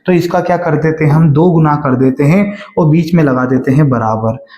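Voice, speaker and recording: A man's voice: fairly high pitch, roomy sound, muffled recording. Man teaching math step by step. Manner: explains step by step with frequent check-ins; stretches key phrases slowly while reading the question aloud. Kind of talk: exam-prep math lesson.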